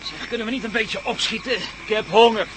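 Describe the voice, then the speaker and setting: Actor as very high-voiced grumbling adventurer. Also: male voice, fairly even intonation, a little fast, natural voice. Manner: complaining, mocking. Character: grumbling, sarcastic male travel companion, hungry and weary. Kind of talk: Dutch radio drama acting. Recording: noisy old radio play recording.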